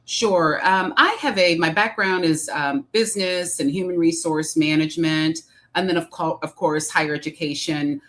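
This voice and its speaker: A middle-aged woman from Detroit with a Midwestern accent, speaking as an interview guest in a measured, reflective way. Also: deep voice, a bit bright. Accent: Midwestern American. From Detroit, Michigan. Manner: measured, reflective, many um fillers. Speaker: guest, executive coach. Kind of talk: interview conversation.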